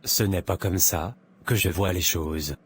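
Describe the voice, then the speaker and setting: Low-voiced earnest young boyfriend played by a man. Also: a little slow, fairly bright tone, slight background noise. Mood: serious. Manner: hesitant, serious.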